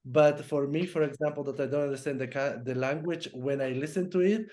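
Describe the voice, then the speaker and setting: A man on a casual panel talking haltingly, repeating and restarting words. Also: fairly high pitch, fairly even intonation, darkish tone.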